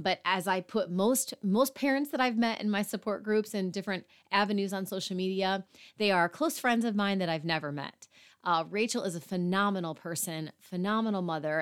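A woman hosting a parenting podcast, slightly hoarse, talking earnestly with fillers. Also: mid-range voice. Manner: earnest, apologetic asides with fillers. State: slightly hoarse after illness. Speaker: podcast host. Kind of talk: podcast intro and interview.

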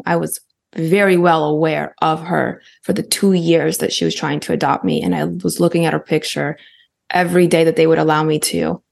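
A woman with an American accent, a guest on a podcast. Her voice sits low and carries a gravelly fry. She talks in quick, animated bursts and laughs often. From Ukraine. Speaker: guest, Paralympic athlete. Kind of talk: casual podcast conversation.

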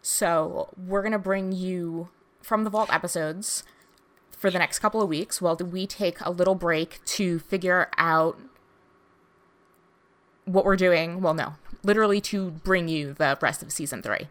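A woman co-hosting a casual podcast chat, talking in a relaxed, friendly way. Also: on the low side, a little slow. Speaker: podcast co-host.

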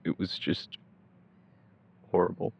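A man's voice: takes it slowly, highs cut off, background noise, lightly acted. An actor playing a tender, anxious young man, a devoted musician, in an audio drama. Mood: somber narration. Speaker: lead actor in supernatural mystery audio drama. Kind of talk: audio drama acting and reflective narration.

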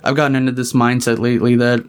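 A man in his twenties from Pakistan, a native Punjabi speaker, calmly explaining his faith as a guest in a casual podcast chat. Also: medium pitch, clear, resonant tone. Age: 20s. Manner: calm, explanatory, measured.

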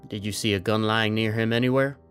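A man acting as a young army corporal with a fairly low voice. He sounds stoic and resigned, matter-of-fact with a wry edge, in an audio drama with music underneath. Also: steady tone, a little fast, a bit bright, natural voice. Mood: curious, sober. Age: young adult. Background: background music.

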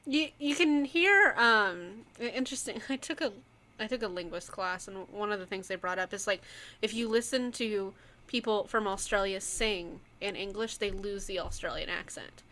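A woman's voice: medium pitch, normal speed, sharp tone. A woman co-hosting a podcast, musing and teasing in a casual chat full of likes. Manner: teasing, reflective, many likes. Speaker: podcast co-host.

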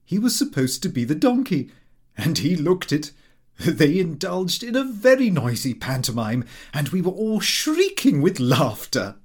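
Man, audiobook narration, comic diary, prim and fussy, very varied intonation, husky edge. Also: a little high, very animated, a little slow. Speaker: audiobook reader. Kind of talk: scripted narration of a comic first-person diary.

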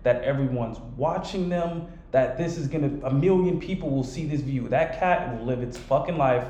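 A man's voice: mid-range voice, normal speed, dark tone. A man hosting a podcast who tells rambling, self-reflective stories and swears casually. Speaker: podcast host. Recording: echoey room.

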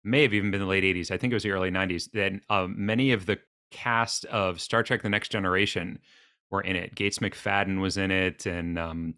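A man's voice: deep voice, fairly even intonation, a little fast, sharp tone, no room sound, clean studio sound. A man talking casually as a guest on a podcast. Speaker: podcast guest and quiz contestant. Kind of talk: casual chat.

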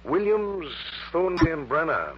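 A man's voice: fairly high pitch, very animated, unhurried, a little hiss or hum. An actor playing an earnest, troubled male research chemist in a 1960s radio drama, hesitant yet emphatic. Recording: dull, humming early-1960s radio recording.

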